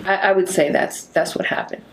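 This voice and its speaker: A middle-aged woman, a real estate broker co-hosting a casual podcast chat, telling stories in a relaxed, self-deprecating way with lots of affirmations. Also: fairly quick, slight background noise.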